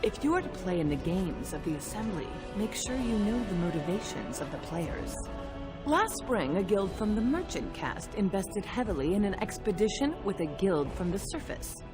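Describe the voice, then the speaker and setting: A woman playing a shrewd, imperious older dwarf noblewoman in a fantasy game, sharp-tongued and commanding. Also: medium pitch, very animated, normal speed, lightly acted. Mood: scolding, then knowing, explaining. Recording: music behind the voice.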